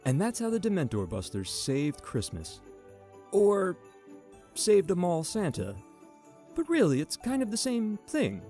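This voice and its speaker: A man's voice: high voice, wildly swooping pitch, measured pace, warm tone, soft background music, heavily acted. A man playing the dramatic, playful narrator of a holiday adventure audio drama. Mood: storytelling.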